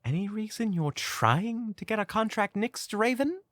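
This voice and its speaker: A man's voice: sits high, animated, normal speed, a bit bright, a little hiss or hum. A man playing a polite, dignified and weary leader of adventurers, speaking formally in a fantasy audio drama. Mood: weary, firm. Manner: formal, courteous, restrained. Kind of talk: fantasy audio roleplay acting.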